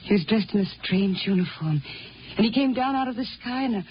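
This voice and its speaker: An actress as a dreamy, expressive Martian wife. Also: female voice, mid-range voice, a little fast, natural voice. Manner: dreamy, musing, hesitant. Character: dreamy, wistful, unhappy young Martian wife. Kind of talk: 1950s radio drama acting. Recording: dull, noisy 1950 radio recording.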